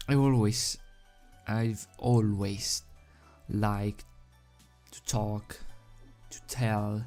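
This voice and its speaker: Slow, halting host over music. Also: medium pitch, fairly even intonation, dark tone. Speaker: video host and fan fiction reader. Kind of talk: casual rambling chat, then storybook narration. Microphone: desk microphone without pop filter. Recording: background music under the voice.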